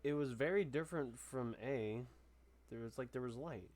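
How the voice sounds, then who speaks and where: Male gamer, mid-pitched, informal and reactive, casual playthrough commentary with music underneath. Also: normal speed, darkish tone. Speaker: gamer playing through a story quest. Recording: game music behind the voice.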